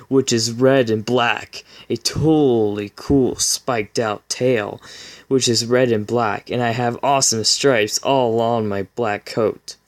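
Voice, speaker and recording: A man's voice: medium pitch, steady tone, takes it slowly, lightly acted. A man voicing a vain, boastful winged unicorn hero with melodramatic self-seriousness, in a story reading. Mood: brooding, boastful.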